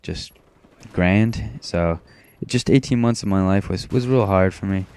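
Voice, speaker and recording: A teenage boy from Maine rambling in a self-described monotone, talking casually over his gameplay with frequent restarts. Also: low voice, measured pace, clean tone. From Maine, USA. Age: teens. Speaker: gaming commentator.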